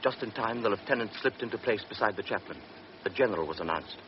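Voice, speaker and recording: A man narrating a radio drama in a grave, measured way. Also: fairly low pitch, music in the background. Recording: dull old radio recording with hiss.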